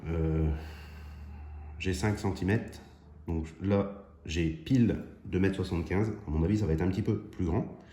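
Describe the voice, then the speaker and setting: A man's voice: low voice, darkish tone, slight background noise. A man hosting a hobby DIY video, chatting informally and thinking aloud as he demonstrates by hand. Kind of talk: casual hands-on demonstration.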